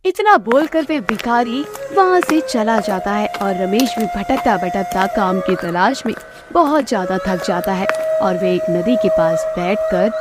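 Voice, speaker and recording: A woman's voice: medium pitch, animated, unhurried. A woman reading scripted narration for a children's moral story as the storyteller.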